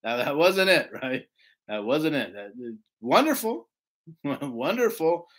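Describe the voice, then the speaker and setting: Higher-pitched man teaching scripture emphatically. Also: big pitch swings, slow, a bit bright. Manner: emphatic, rhetorical, often seeking agreement with "right?". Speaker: Bible teacher. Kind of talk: informal Bible lesson with scripture reading.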